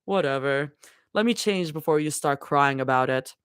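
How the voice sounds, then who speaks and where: Woman doing a deadpan unimpressed young woman. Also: normal speed, clear, resonant tone, no room sound, studio quality, close to an everyday voice. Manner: low deadpan delivery.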